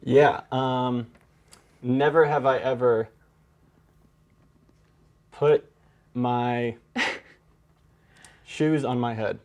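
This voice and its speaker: Young American male guest, mid-pitched voice, informal meandering storyteller. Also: fairly even intonation, very slow, pauses often, a little mellow. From Brazil-born, raised in the San Francisco Bay Area. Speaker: guest singer-songwriter. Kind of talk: casual storytelling.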